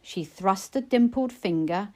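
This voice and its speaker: A woman reading narrative poetry expressively, in a measured storytelling manner. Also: mid-range voice, lively intonation, warm tone. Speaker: poetry reader.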